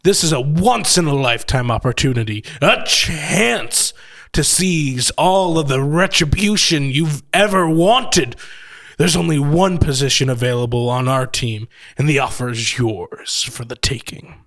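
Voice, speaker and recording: A man voicing a smug, menacing villain who speaks slowly and deliberately in an audio story. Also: a little high, dry recording. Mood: coaxing menace. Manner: slow, deliberate, softly threatening. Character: menacing smug villain who collects machines of his victims.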